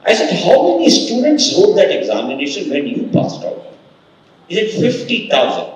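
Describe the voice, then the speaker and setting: A man hosts a corporate summit and introduces the next speaker. His voice is high and lively, and he speaks slowly and emphatically. He is heard from far off in a very echoey hall. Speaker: event host introducing the speaker. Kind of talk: motivational introduction speech. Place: large conference hall.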